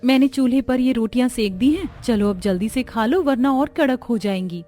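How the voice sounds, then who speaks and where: A woman voicing a poor mother in a cartoon, gentle and resigned. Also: fairly high pitch, normal speed, close to an everyday voice. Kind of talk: cartoon voice acting.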